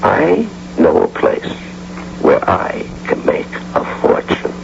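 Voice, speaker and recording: Muffled old radio play: a boozy, bombastic jobless husband, swooping and oratorical. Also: male voice, low-pitched, wildly swooping pitch, takes it slowly, gravelly, full character voice. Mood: grandiose. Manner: theatrical, oratorical. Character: tipsy, grandiose out-of-work husband scheming a robbery. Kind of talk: radio drama acting. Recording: dull, hissy, humming old radio broadcast.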